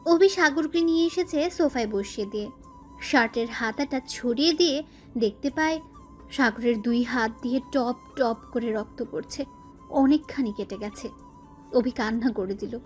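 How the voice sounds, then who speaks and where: Casting: female narrator for scripted romantic fiction, high voice, faint music bed underneath. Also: normal speed, darkish tone. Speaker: story narrator.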